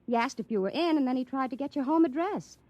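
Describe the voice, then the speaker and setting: An actress playing a sweet, flustered young secretary in a 1950s radio drama, breathless and earnest. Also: female voice, sits high, quick pace, darkish tone, dry recording, lightly acted. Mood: worried.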